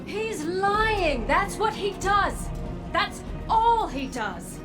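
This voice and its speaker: Blunt, distrustful commander. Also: female voice, very high-pitched, very animated, extremely slow, heavily acted. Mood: angry. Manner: blunt, stern. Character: hard-edged, distrustful, commanding woman soldier-scientist. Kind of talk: dramatic video game dialogue. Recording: background music under the voice.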